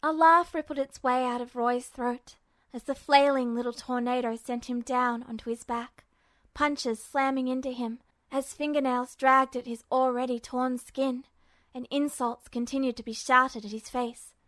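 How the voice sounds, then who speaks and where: A woman reading fiction aloud as an expressive, scripted audiobook narration. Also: high voice, normal speed, no room sound. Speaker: fan fiction audiobook reader.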